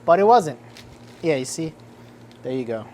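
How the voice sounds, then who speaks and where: A man's voice: fairly high pitch, very animated, a little slow, a little mellow, faint music behind. A man from Central New Jersey with a New Jersey accent, chatting casually and upbeat to his viewers in a vlog. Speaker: vlogger.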